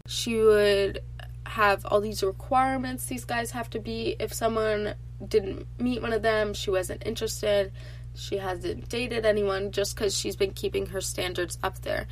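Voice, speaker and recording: Teen girl podcaster, stuffy nasal voice, chatty, mid-pitched, faint hum behind. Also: low-quality recording. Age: teens. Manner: chatty and animated, lots of filler "like". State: congested from allergies. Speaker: podcast host. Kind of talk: casual solo podcast chat.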